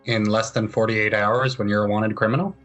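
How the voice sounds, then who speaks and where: A man's voice: on the low side, fairly even intonation, fairly quick, a bit bright, faint music behind. A man acting as game master, casually laying out rulings and questions in a matter-of-fact way.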